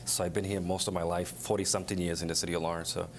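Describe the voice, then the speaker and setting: A man from the Dominican Republic answers the host's questions in a plain, earnest way. His voice is low and he talks quickly, with a faint rumble behind him. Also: level tone. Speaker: panel guest. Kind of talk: talk show interview.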